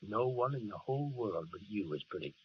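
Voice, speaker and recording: An actor playing a coaxing, smitten soldier sweetheart in a 1930s radio drama, with a low, husky, gravelly voice. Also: male voice, lively intonation, brisk, a little hiss or hum, natural voice. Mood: adoring. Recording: muffled 1930s radio broadcast recording.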